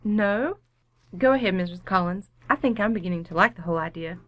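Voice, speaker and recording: A woman's voice: medium pitch, lively intonation, brisk, fairly bright tone, no echo, natural voice. Woman playing a drily sarcastic radio-sitcom wife. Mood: coolly amused. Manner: polite, dry sarcasm. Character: composed, drily sarcastic suburban wife, firm. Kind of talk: old-time radio sitcom acting.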